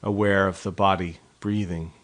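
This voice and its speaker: A man with a slightly low voice leads a guided meditation. He gives soft, gentle instructions in short phrases, pausing often so the listener can follow along. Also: fairly even intonation. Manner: unhurried, gently instructing with frequent pauses. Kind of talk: guided body scan meditation.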